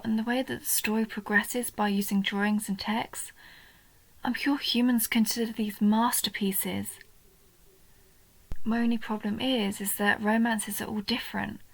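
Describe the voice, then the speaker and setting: A woman voicing a young female goblin in a roleplay audio, a nerdy, sweet and curious bookworm who talks earnestly and eagerly, sometimes softly breathy. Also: fairly high pitch, no room sound, poor audio. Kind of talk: roleplay audio, intimate one-sided scripted dialogue.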